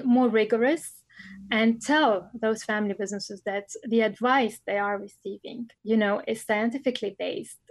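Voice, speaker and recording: A middle-aged woman from Lebanon speaks as a scholar in an interview. Her voice sits fairly high and carries a gravelly edge. She pauses often with fillers and restarts her sentences, and the sound is dry and close. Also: no echo. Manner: thoughtful, many fillers and restarts. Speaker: family business scholar. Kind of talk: interview answers.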